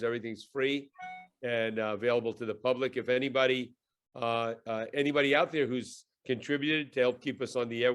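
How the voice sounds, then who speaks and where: A man of middle pitch hosts a podcast and introduces the show and its guest. He rambles and fills his pauses with many "uh"s. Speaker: podcast host.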